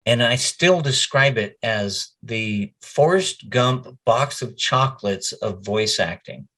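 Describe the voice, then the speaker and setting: A man's voice: medium pitch, animated, takes it slowly, a bit bright. A man speaking as a voice actor being interviewed, chatting casually and telling stories with quoted bits of conversation.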